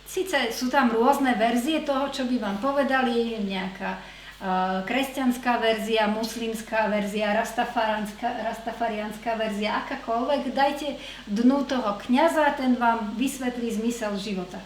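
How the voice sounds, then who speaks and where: A woman interpreting a lecture consecutively, measured and hesitant with fillers as she translates. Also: fairly high pitch, fairly even intonation. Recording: echoey hall with faint noise.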